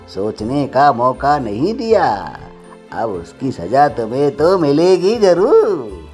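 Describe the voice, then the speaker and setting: An actor voicing a jovial but stern king in an animated story, making drawn-out, pompous royal pronouncements. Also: a little high, heavily acted. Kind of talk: animated cartoon story acting.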